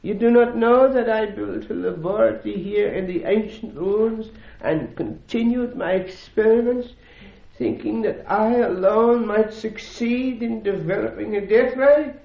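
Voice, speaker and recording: A frail, suspicious old professor in a vintage radio drama. His voice is high for a man and gravelly, with halting, theatrical phrasing. The recording is dull and roomy. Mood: agitated, accusing. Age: older. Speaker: actor in a radio serial. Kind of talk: old-time radio adventure drama acting.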